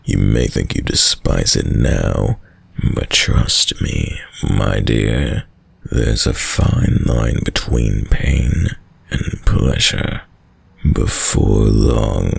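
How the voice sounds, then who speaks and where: A man playing a sadistic, dominant vampire in an intimate audio roleplay, speaking in a commanding, silkily menacing and condescendingly tender way, deep and breathy. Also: no room sound, heavily acted. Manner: slow, commanding, condescendingly tender, often dropping to whispers. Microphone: close, dry studio mic.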